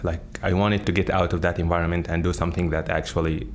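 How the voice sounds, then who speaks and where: Deep-voiced young Sudanese man. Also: level tone, fast talker, slight background noise. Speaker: graduating PhD student. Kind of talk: casual interview talk.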